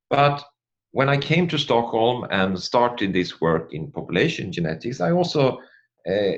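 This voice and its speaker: A middle-aged man from Sweden with a Swedish accent gives an academic presentation, speaking haltingly and often repeating words as he searches for the next phrase. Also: deep voice, dull audio. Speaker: academic guest speaker, population geneticist.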